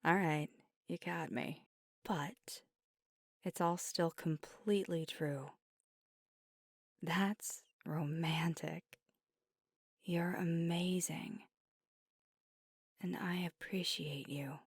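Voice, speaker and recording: Low, close-up, earnest werewolf girlfriend, acted by a woman. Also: fairly even intonation, extremely slow, long pauses, husky, no echo, full character voice. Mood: affectionate, teasing. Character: devoted, earnest young werewolf girlfriend weighing big news. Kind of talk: intimate ASMR roleplay, audio drama.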